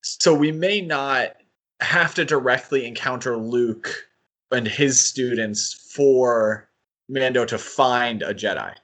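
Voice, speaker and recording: A man's voice: mid-range voice, slow, fairly bright tone. A man co-hosting a podcast, talking casually and thinking aloud as he speculates, with hedges and asides. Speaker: podcast co-host.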